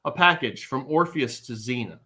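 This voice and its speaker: A man's voice: medium pitch, lively intonation, normal speed, sharp tone. A man acting a bumbling, cowardly but boastful hero in a comedic live table read, blustering and exaggerated. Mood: earnest.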